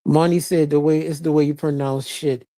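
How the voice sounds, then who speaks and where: A live-stream host answers viewer comments in loose, unscripted chat. The voice sits in a middle pitch, and the talk is broken up by frequent laughter and casual swearing. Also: little pitch movement, moderate pace, warm tone. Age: adult with a child, past teens. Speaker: livestreamer reading viewer chat.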